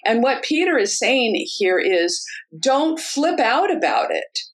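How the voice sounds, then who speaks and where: A woman's voice: fairly high pitch, very animated, takes it slowly. A woman in a casual talk-show discussion, explaining a Bible passage in an earnest, teaching way. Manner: earnest, teaching tone with frequent restarts.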